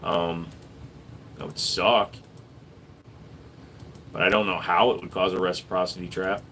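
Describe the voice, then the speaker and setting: A man who runs a gun shop, hosting a casual livestream where he reads viewer messages aloud and answers them in an offhand, relaxed way. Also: sits low, unhurried, vocal fry, a little hiss or hum.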